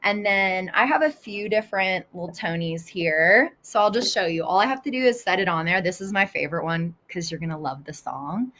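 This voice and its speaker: A woman's voice: lowish voice, fairly quick, few pauses, fairly bright tone. A woman with an American accent, a teacher presenting an informal, conversational online tutorial. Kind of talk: casual webinar demonstration. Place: live online video session.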